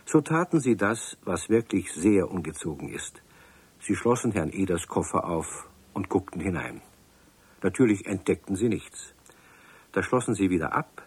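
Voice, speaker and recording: Casting: narrator, man, lowish husky voice, dry close sound. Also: expressive, normal speed, no room sound. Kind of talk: storytelling narration for children.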